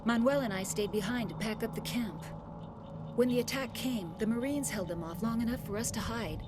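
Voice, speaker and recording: A woman playing an earnest, composed scientist in a video game, speaking in a measured, articulate way. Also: on the high side, close to an everyday voice. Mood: earnest, relieved. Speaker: scientist in charge of an excavation. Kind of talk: dramatic video game dialogue. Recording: loud game music under dialogue. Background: music.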